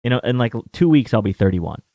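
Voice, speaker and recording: Casual male betting host, about 30, loose rambling delivery, average pitch, dry close sound. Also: lively intonation, fast, dark tone, dry recording. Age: 30s. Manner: rambling, filler-heavy, with mild swearing. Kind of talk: casual chat.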